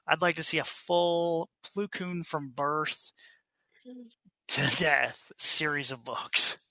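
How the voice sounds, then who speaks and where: A man's voice: a little high, slow, pushed and bright, no room sound. A man co-hosting a podcast in a casual, playful and joking way. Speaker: podcast co-host. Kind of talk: casual book club podcast chat.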